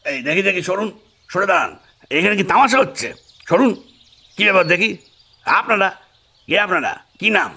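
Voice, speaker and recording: An actor playing a bossy, exasperated police officer in an audio drama, barking orders impatiently in a raspy voice. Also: male voice, high-pitched, very animated, moderate pace, long pauses, sharp tone, heavily acted. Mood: commanding.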